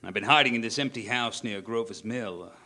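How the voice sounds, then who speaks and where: Haunted scientist survivor, male actor: fairly low voice, weary, brooding, deliberate literary monologue for radio drama. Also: bright, forceful tone. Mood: bleak, haunted.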